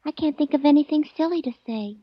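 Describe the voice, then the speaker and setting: High, girlish, naive secretary played by a woman. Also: fast, no echo, lightly acted. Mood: wistful. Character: naive, scatterbrained, sweet young female secretary. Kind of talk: 1940s radio comedy-drama acting. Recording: muffled old radio recording.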